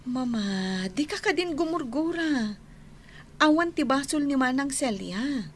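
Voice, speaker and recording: A woman acting in an Ilocano radio drama as a gentle young daughter, pleading and soothing. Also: high voice, lively intonation, a little slow, a little hiss or hum. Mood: coaxing.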